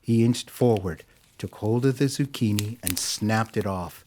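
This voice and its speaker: A man narrating a scripted audio drama as a measured, literary storyteller. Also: on the low side, a little mellow, a little hiss or hum.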